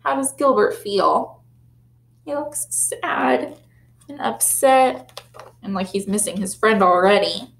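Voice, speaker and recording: A young woman teacher reading a picture book aloud to young children, animated and sympathetic, on a close webcam in a classroom. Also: medium pitch, takes it slowly, gravelly, long pauses. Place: classroom, indoors. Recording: roomy classroom echo.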